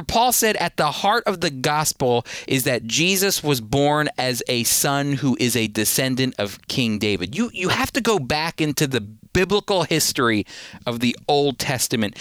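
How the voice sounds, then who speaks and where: A man's voice: mid-range voice, fairly bright tone, no echo. A man teaching the Bible on a radio program, earnest and emphatic, often repeating a word as he starts a thought.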